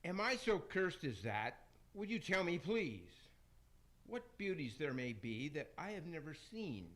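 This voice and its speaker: A man's voice: fairly high pitch, very animated, measured pace, fairly bright tone. Man playing a theatrical, swooping villain from hell. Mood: chastened, wistful. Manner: theatrical, declaiming rhymed verse. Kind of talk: amateur radio play acting.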